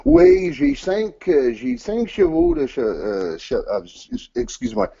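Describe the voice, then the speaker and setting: A man whose first language is English, speaking French haltingly in an interview over an audio call and searching for words with many restarts. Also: high voice, wildly swooping pitch, vocal fry, dark tone, breathy edge, dull audio. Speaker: interview guest, team manager.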